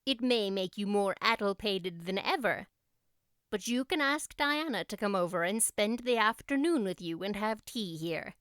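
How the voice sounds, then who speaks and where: Audiobook role: woman as no-nonsense older female guardian, clear, dry, scolding delivery. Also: medium pitch, lively intonation, moderate pace, a bit bright, no room sound, natural voice. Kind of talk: audiobook character voice.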